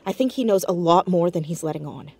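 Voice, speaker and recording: An actress playing a determined young amateur sleuth in an audio drama, urgent and earnest. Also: female voice, fairly low pitch, a little fast, a little mellow, husky. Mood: urgent, resolute. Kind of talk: scripted audio drama dialogue.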